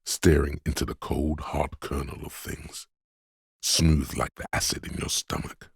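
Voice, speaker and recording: A man voicing a paranoid, aggressive inner voice of primal fear in a video game, menacing, urgent and ominous, with a gravelly, husky sound. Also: very deep voice, steady tone, measured pace, dry recording, clean studio sound, exaggerated voice. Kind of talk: video game voice acting, second-person dramatic narration.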